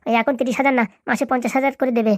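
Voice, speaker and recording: A woman's voice: sits high, fairly even intonation, rapid-fire, no echo, clean studio sound, close to an everyday voice. A woman voicing a brash, harried grown son in a comedy cartoon, impatient and dismissive. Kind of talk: Bengali comedy cartoon dialogue.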